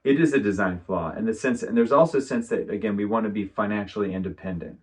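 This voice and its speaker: Architect guy rambling thoughtfully, lowish voice, sounds kinda far from the mic. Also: fast. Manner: thoughtful, rambling, many fillers. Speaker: guest, architect. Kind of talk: casual conversation. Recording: distant from the mic.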